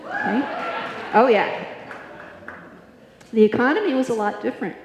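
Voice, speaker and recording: A woman's voice: on the high side, very animated, moderate pace, highs cut off. A woman hosting a live show, reading scripted nostalgic narration theatrically and putting questions to the audience. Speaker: host of a live stage show. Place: live performance in a hall. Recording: echoey hall, music behind.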